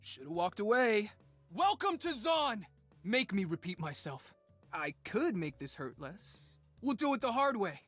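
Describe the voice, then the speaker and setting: A man's voice: high-pitched, big pitch swings, measured pace, fairly bright tone, faint music behind, full character voice. A man playing a cocky, determined young male inventor and street fighter in punchy, brash video game lines. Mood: brash, fired up. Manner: punchy, brash one-liners.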